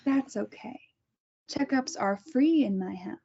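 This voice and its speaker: A woman reading the part of a creepy self-styled doctor mother who sounds sweet and calm while menacing. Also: on the high side, unhurried, creaky, slightly rough, heavily acted. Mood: sweetly menacing. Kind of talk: amateur table read. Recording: muffled lo-fi recording.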